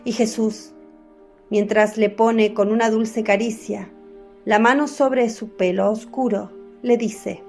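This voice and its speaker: A woman narrating a scripted radio drama in a calm, even storytelling voice. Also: fairly high pitch, slow pace, faint music behind.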